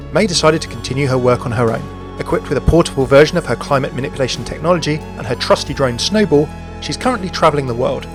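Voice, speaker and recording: A man presenting a scripted video narration about a game character. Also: medium pitch. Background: music.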